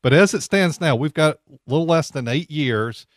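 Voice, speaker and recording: A man's voice: fairly high pitch, expressive, moderate pace, no echo, clean studio sound. A man, hosting a live financial talk radio show in a chatty way, often repeating words as he goes. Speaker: radio host. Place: radio studio. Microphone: studio microphone.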